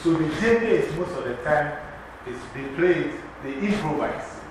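African guy teaching drums, kinda high voice, super echoey room, mic far off. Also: animated, moderate pace. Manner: earnest, repetitive, leads the class in call-and-response. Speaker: drumming and dance teacher. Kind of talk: teaching a class. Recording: very echoey room, distant mic, background noise.

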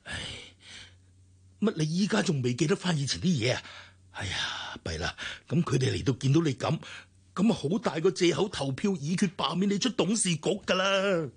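A husky, sighing older company director. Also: male voice, a little high, big pitch swings, normal speed, exaggerated voice. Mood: urgent, pleading. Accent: Hong Kong Cantonese. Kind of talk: radio drama acting.

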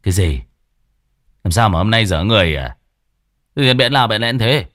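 A man playing a brusque village husband who snaps at his wife in quick, scolding retorts. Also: medium pitch, expressive, a bit bright. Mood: impatient. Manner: gruff, scolding, rapid retorts.

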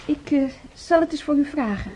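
Hoge, zachte stem van jonge verpleegster. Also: female voice, sits high, animated, moderate pace, a little mellow, poor audio. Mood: brisk, patient. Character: busy young nurse, obliging but privately exasperated. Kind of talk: radio drama acting.

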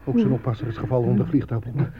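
An actor playing an anxious soldier trapped in a bunker, reasoning with comrades in a radio war drama. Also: male voice, medium pitch, rapid-fire, a crowd in the background, natural voice. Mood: anxious, grim. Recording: muffled radio play with commotion behind.

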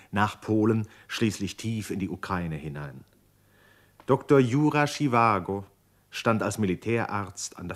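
A man narrating a literary radio drama in a measured, solemn manner. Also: fairly low pitch.

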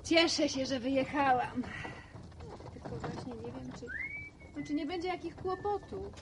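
An actress playing a lively, chatty young woman visiting her village in a Polish radio serial. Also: high-pitched, moderate pace, background noise. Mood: chatty, slightly worried. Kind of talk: Polish radio serial acting, natural dialogue.

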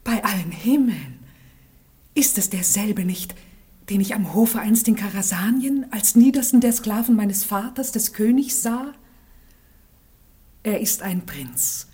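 An actress playing a jealous, lovesick schemer at a royal court in a radio play, with intense, theatrical delivery. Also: female voice, mid-range voice, lively intonation, measured pace, long pauses, dark tone, breathy edge. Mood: shocked, lovesick. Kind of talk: classic German radio play, theatrical monologue.

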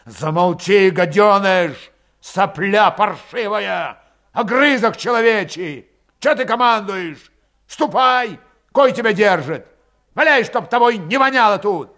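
A man voicing a proud, quick-tempered young Cossack father and war veteran in an audiobook, gruff and curt in rustic dialect. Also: a little high, expressive, natural voice. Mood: angry, contemptuous. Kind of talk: audiobook character voice.

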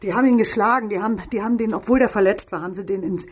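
An actress playing a brothel madam in a German radio crime drama, her voice husky and breathy. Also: medium pitch, racing, a little hiss or hum, lightly acted. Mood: frightened. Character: brothel madam, sultry saleswoman, frightened when pressed.

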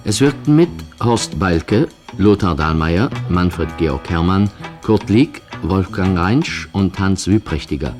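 Deep, slow male radio announcer. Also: lively intonation, darkish tone, soft background music. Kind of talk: formal credit announcement.

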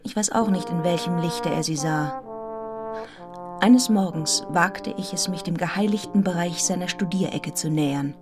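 A woman's voice: lowish voice, natural voice. Actress as reflective woman narrator over music. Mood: reflective, composed. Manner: measured, reflective. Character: observant, reflective young governess telling her own story. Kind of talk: literary first-person narration in a radio play. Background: music with brass.

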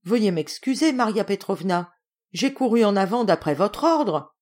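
A woman's voice: mid-range voice, animated, normal speed, darkish tone, pitched above the performer's own voice, lightly acted. A woman voicing a flustered male servant courier, deferential and pleading, in a storybook reading. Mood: apologetic.

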